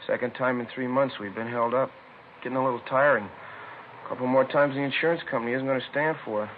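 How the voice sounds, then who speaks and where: Vintage lo-fi radio drama, male actor as store manager, average-pitched voice, colloquial, fragmented, chatty, put-out. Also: steady tone, fast talker, lightly acted. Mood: aggrieved. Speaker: witness. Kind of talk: 1950s radio drama acting, naturalistic. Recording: lo-fi noisy 1950s radio recording.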